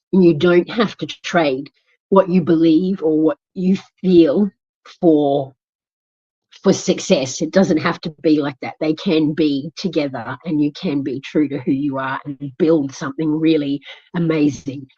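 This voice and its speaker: A woman guest in an interview, speaking in a heartfelt, grateful way with soft laughs. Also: low voice, husky. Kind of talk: conversational interview. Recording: muffled lo-fi audio.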